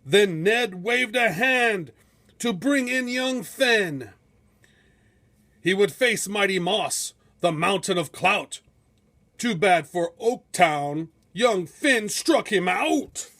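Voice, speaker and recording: Male storybook narrator, high register, unhurried delivery, dramatic and measured, suited to heroic verse. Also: lively intonation, a bit bright. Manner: theatrical, measured, epic storytelling. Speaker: storybook reader.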